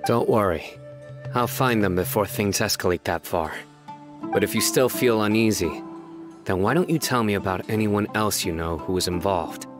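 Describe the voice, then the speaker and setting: An actor voicing a stern, composed young enforcer in a video game, speaking in a measured, formal, matter-of-fact way. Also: male voice, fairly low pitch, over music, lightly acted. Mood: reassuring, firm. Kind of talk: scripted video game dialogue.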